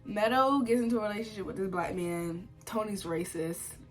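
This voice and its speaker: A woman's voice: medium pitch, normal speed, faint music behind. Chatty young woman with a congested cold. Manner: chatty and opinionated, many "like" fillers, animated outbursts. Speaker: vlogger reviewing a TV series. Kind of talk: casual sit-down chat to camera. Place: home sit-down setup.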